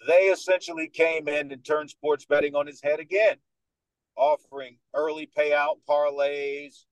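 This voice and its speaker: A man in his thirties with a Dominican American accent, speaking as a podcast guest in a confident, animated way. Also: fairly high pitch, measured pace, no room sound, low-quality recording. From United States, New York. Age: 30s. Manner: confident, animated storyteller who acts out other people's lines. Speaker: guest, sports radio host. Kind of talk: casual podcast interview.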